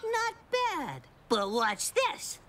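A woman's voice: sits high, wildly swooping pitch, drawn-out words, noisy background, heavily acted. A woman voicing a chubby, self-assured young boy in a cartoon, full of brash bravado. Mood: confident. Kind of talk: cartoon voice acting.

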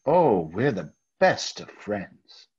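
A man playing a husky, overpolite, guilty murderer. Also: fairly low pitch, very animated, darkish tone, heavily acted. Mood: smooth, evasive. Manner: halting, over-courteous, stammering. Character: nervous, overpolite murderer hiding his guilt. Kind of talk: old-time radio theater acting. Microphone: video call. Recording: dull, lo-fi video call audio.

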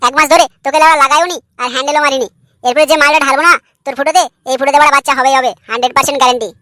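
Man acting a brash young cartoon husband, high-pitched. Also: steady tone, very fast, sharp tone, dry recording, clean studio sound. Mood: boastful, insistent. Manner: brash, foul-mouthed, argumentative. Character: crude, irritable young husband, frustrated and boastful. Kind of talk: comedy cartoon dialogue.

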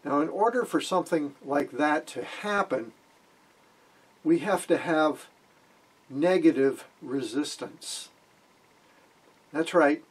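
An older man hosts an informal hobby electronics lesson. His voice is husky and sits a little high for a man. He explains things in a folksy, wry way. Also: poor audio. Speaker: amateur radio hobbyist, video host. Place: small wood-panelled room indoors.

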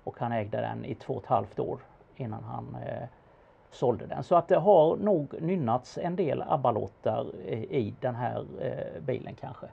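A man giving casual expert commentary in a conversation, speaking haltingly with pauses in mid-sentence. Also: medium pitch, expressive, gravelly, slightly rough, narrow, tinny audio. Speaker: car expert answering questions about an auction car.

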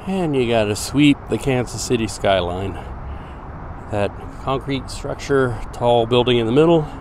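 A man casually narrating as he walks through city streets, pointing out sights in a relaxed, chatty way. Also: medium pitch, measured pace. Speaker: vlogger on a city walk. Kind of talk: casual walking tour commentary. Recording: outdoor street noise on camera mic. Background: traffic and city noise.

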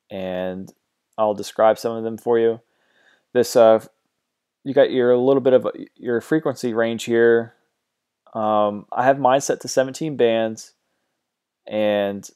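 A man with a low, mellow and smooth voice talks through music software settings at his computer. He sounds relaxed and a bit hesitant, filling pauses with ums and uhs. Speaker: music production tutor. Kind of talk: screen-recorded software tutorial.

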